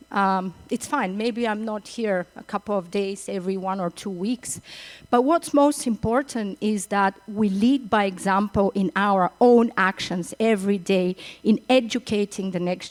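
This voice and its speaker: A woman's voice: medium pitch, measured pace, a little mellow. A middle-aged woman with a Bulgarian accent giving a conference speech, with hesitant phrasing and repeated words. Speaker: conference speaker. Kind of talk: opening speech at a summit.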